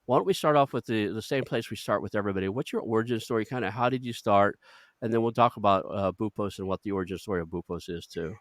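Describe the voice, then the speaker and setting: A man's voice: lowish voice, quick pace, no room sound. A man hosting a business podcast, chatting casually and in a self-deprecating, rambling way. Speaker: podcast host. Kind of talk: casual interview chat.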